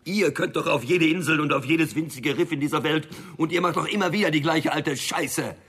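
An actor playing a defiant, sardonic small-time hustler in a radio thriller, with a husky, creaky voice and blunt delivery. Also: male voice, fairly high pitch, fairly quick, a bit bright. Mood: defiant, angry. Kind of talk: radio crime drama acting.

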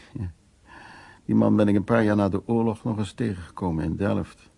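An actor playing an older, frank and dominant man who reminisces intimately in short phrases, in a radio play with a husky, gravelly voice. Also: on the low side, a little mellow, exaggerated voice.

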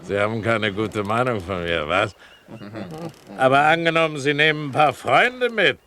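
An actor in a 1970s German radio play, playing a sly, domineering pirate captain with a commanding, mocking manner. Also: male voice, mid-range voice, expressive, normal speed, crowd noise, natural voice. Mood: slyly needling. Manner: commanding, mocking, given to chuckling.

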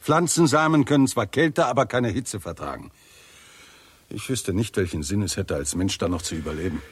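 Husky, low-voiced, sardonic scientist. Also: male voice, animated, moderate pace. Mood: grave, pessimistic. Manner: dry, sardonic, precise. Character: blunt, skeptical British scientist, scornful of politicians. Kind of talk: 1960s German radio drama acting.

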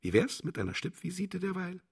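Husky, sardonic, condescending mentor. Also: male voice, high voice, a little fast, heavily acted. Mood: smugly macabre. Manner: mocking, patronizing, animated. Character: cynical, condescending older male mentor with chilling sarcasm. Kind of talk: radio play dialogue.

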